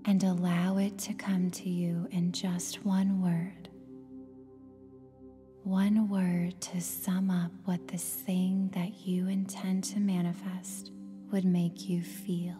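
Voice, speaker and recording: A woman with a soft, breathy, near-whispered voice guides a meditation in a soothing, gentle way, leaving long pauses between phrases. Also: fairly low pitch, little pitch movement. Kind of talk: guided meditation, scripted narration. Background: meditation music with singing bowl tones.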